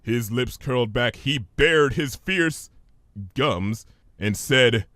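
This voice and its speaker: Expressive male story reader, dry recording. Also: medium pitch, slow, no echo. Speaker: fan fiction reader. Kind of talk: expressive scripted story narration.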